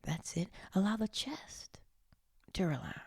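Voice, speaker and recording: A woman leading a guided meditation in a hushed, soothing, breathy and often whispered voice, coaxing gently with long pauses. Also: medium pitch, expressive, no room sound, clean studio sound. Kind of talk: slow guided meditation narration, partly whispered.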